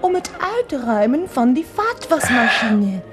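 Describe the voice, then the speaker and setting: An actress playing a naive, adoring German mistress in a radio play, plaintive and primly earnest, with a German accent. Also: female voice, on the high side, very animated. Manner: slow, halting, primly earnest, mixing in German words. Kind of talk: 1990s Dutch radio play acting. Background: classical choral music.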